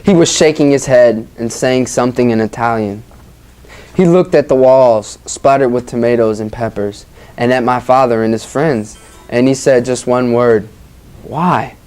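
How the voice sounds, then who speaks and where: Expressive storybook reader and informal teacher. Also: mid-range voice, moderate pace, clean tone. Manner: engaging, informal, addresses listeners as guys. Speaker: teacher reading a story aloud to children. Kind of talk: expressive read-aloud with questions to young listeners.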